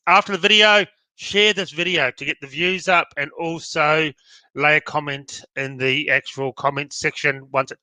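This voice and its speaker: Bright Australian man, stuffy-nosed and tired. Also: a little high, no echo. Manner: chatty and welcoming, says sorry for sounding tired. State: blocked-up nose from hay fever. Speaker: live stream co-host. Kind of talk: casual live stream chat.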